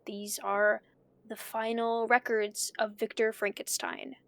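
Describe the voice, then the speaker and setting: An actress playing an anxious woman investigator in an audio drama, talking to herself in a nervous, halting, stammering way. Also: on the high side, level tone, vocal fry, a bit bright, no room sound, full character voice. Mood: uneasy, doubting. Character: anxious woman investigating her dead cousin's tapes.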